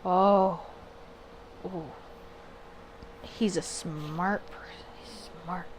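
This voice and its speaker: A woman's voice: on the low side, steady tone, very slow, a little hiss or hum, rough recording. A woman hosting a visual novel playthrough, reading aloud and commenting to herself, sometimes stumbling over words. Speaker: gaming video host.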